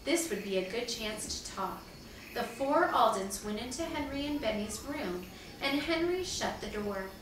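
A woman in her thirties, a teacher, reading a storybook aloud to children in an expressive way. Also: a little high, a little slow. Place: living room at home, indoors. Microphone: far camera. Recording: distant mic, very echoey room, noisy.

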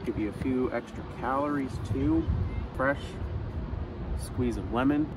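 A man's voice: medium pitch, unhurried, noisy background. A man in his forties hosting an upbeat outdoor cooking vlog on a beach, chatty and enthusiastic, recorded on a camera a few feet away. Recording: distant mic, loud outdoor ambience.

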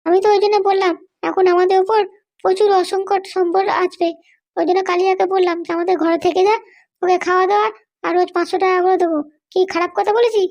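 A woman voicing a bossy, hot-tempered mother in a comic cartoon, with sharp scolding talk. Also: extremely high pitch, steady tone, quick pace. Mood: urgent.

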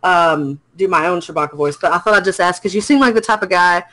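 A man's voice: high-pitched, steady tone, fast, sharp tone. A man co-hosting a casual podcast, reading a news story aloud with small slips and self-corrections. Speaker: podcast co-host.

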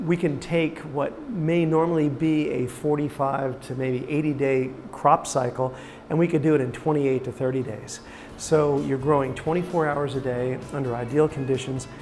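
A middle-aged man explains his business in an informal interview. He is recorded in an indoor room, with light music underneath. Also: on the high side. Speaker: farm owner being interviewed.

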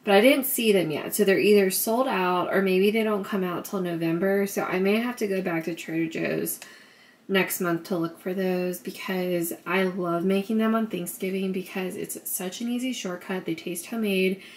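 Chatty young woman with a gravelly edge. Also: medium pitch, fairly even intonation, normal speed. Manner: chatty, upbeat, talks in a sing-song baby voice to her son. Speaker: vlogger. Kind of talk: casual grocery haul vlog. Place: home kitchen.

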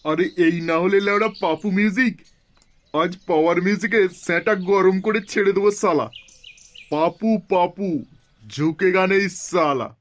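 A man plays a comedy cartoon crew boss. He blusters, swears and threatens, quick to lose his temper. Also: sits high, a little hiss or hum, lightly acted. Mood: boastful, taunting. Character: foul-mouthed hot-tempered gang boss of a sound-system crew.

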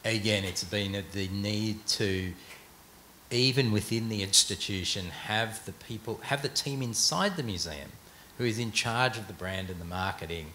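A man's voice: on the low side, moderate pace, pushed and bright. A middle-aged man on a panel in a large auditorium, answering hesitantly with many restarts, speaking into a handheld mic. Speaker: panelist, museum executive. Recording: echoey hall, faint noise.

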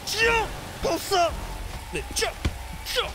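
A man streaming an improvised game roleplay, talking in an excitable, theatrical way. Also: very high-pitched, very slow. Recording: noisy game sound behind voice.

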